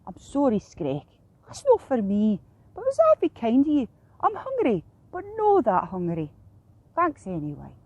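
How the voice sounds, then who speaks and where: A woman's voice: fairly high pitch, huge pitch swings, moderate pace, darkish tone, breathy edge, no echo, highs cut off, heavily acted. A woman voicing a sulky, fussy young male heron in a children's story, whingeing in a Scottish accent. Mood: apologetic. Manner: whingeing, huffy.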